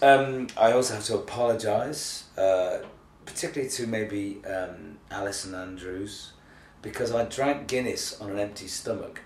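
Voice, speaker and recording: A tired man with a cold and a slightly croaky voice, chatting hesitantly and self-deprecatingly in an unscripted video blog. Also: fairly low pitch. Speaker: theatre artistic director giving a monthly video update. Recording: echoey, distant mic, faint noise.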